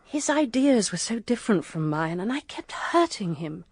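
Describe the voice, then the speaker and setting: An actress playing a grieving, guilt-ridden widow in a radio drama, speaking in a halting, sorrowful, hushed manner. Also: female voice, medium pitch, big pitch swings, husky, dry recording. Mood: numb grief.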